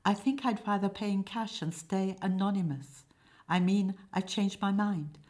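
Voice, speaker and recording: A woman's voice: mid-range voice, moderate pace, darkish tone, voice pushed up, natural voice. A woman voicing a nervous, lovesick young man in a comic stage play, hesitant and prone to stammering. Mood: anxious.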